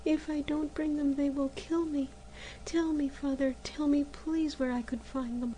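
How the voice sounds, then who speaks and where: A woman voicing a timid, gentle young girl who pleads softly. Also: sits high, unhurried, pitched above the performer's own voice, rough recording. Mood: pleading. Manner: soft, pleading.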